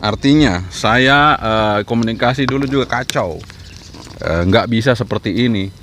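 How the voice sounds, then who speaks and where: A man's voice: mid-range voice, normal speed, background noise. A man giving an informal instructional vlog talk, encouraging and repeating his points as he explains. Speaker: amateur radio vlogger and trainer.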